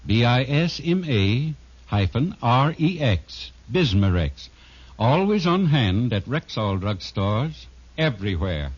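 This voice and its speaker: A man's voice: mid-range voice, very animated, slow pace, slight background noise. A man reading a scripted 1950s radio advertisement as announcer, in a polished, emphatic sales delivery. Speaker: commercial announcer. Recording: muffled old radio broadcast recording.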